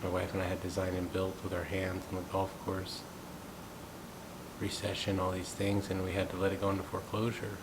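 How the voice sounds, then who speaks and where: A man in his thirties, from the United States, talking through his thoughts in a reflective, unhurried personal monologue. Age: 30s. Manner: thoughtful, unhurried, musing aloud. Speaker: gong practitioner sharing thoughts. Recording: steady low rumbling background noise. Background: rumbling noise.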